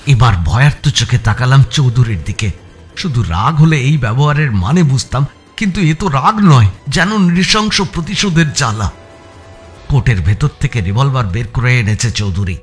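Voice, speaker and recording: A man's voice: medium pitch, lively intonation, moderate pace, faint music behind. A man with an Indian Bengali accent giving a dramatic first-person audiobook narration of a story.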